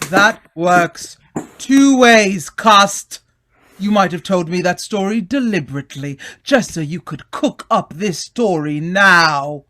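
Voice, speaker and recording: A man's voice: sits high, lively intonation, takes it slowly, sharp tone, full character voice. A man acting a haughty, cunning heir and murderer in an old-time radio mystery, theatrical and condescending. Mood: scornful.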